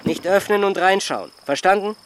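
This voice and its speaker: A man's voice: sits high, moderate pace, very noisy, close to an everyday voice. An actor playing a terse, authoritative man giving instructions in a radio drama. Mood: terse, commanding. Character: curt, commanding man instructing a village girl.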